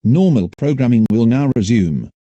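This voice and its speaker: A man playing an authoritative emergency broadcast announcer, reading scripted warnings in a formal, measured, admonishing manner. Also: medium pitch, sing-song, warm tone, no echo, highs cut off. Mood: grave, official.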